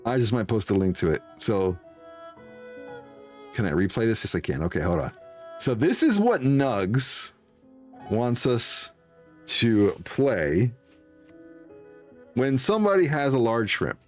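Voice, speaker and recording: A man's voice: mid-range voice, sing-song, slow, pauses often, soft background music. A man chatting casually as a livestreamer, in an amused way, often repeating himself. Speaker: livestreamer playing a game.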